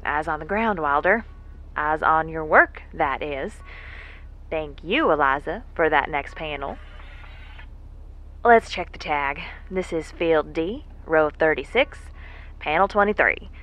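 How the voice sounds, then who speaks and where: An actress playing a weary, salty moon engineer in an audio drama, muttering to herself with effort and self-amused humour. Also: female voice, low-pitched, lively intonation, takes it slowly, muffled recording. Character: weary, salty, wisecracking woman moon engineer working alone.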